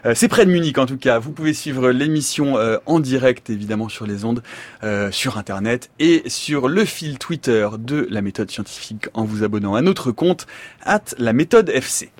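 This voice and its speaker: A man's voice: mid-range voice, normal speed, dry recording. A middle-aged man hosting a radio science show from a studio, reading a dramatic intro and then interviewing with easy fillers. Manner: theatrical scripted delivery, then relaxed with fillers. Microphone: studio microphone.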